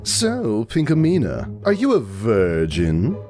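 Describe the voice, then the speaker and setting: A man's voice: lowish voice, wildly swooping pitch, slow, mellow, soft background music, pitched above the performer's own voice, full character voice. Man putting on a sultry, menacing siren villainess. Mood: sultry teasing. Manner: purring, teasing, theatrical drawl.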